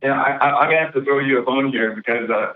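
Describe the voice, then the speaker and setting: A man calling in to a show, debating the host in a halting, repetitive, persistent way. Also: mid-range voice. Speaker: caller to a call-in show. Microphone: phone call. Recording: tinny, narrow phone line.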